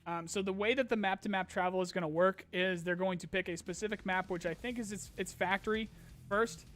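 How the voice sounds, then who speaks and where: A man hosting a casual live-stream Q&A, rambling with many ums and restarts while his voice grows hoarse. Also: high voice, steady tone, fairly quick, fairly bright tone. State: losing his voice, clearing throat. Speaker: streamer host answering chat questions.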